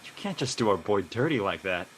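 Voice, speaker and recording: Man playing an excitable, animated teenage boy. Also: on the low side, fairly quick, breathy edge, exaggerated voice. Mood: cocky, teasing. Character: teenage boy, eager, excitable, cocky, teasing. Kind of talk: live audio drama table read.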